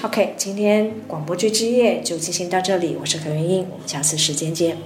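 A woman's voice: fairly low pitch, a little fast, music in the background. A woman hosting a conversational radio interview, speaking in a reflective, empathetic manner. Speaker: radio program host. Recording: roomy echo, faint background noise.

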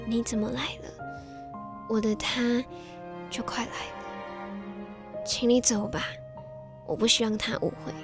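A woman's voice: on the high side, little pitch movement, measured pace, pauses often. A woman playing a devoted, heartbroken young woman in a radio drama, speaking tenderly and sorrowfully. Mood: weak, pleading. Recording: music behind the voice.

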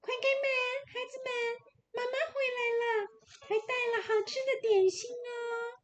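A woman voicing a male storybook wolf who squeezes his voice thin and squeaky to pass as a mother, with a falsely sweet tone. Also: fairly even intonation, moderate pace, a little hiss or hum. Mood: fake sweet. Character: big bad wolf faking a thin mother voice.

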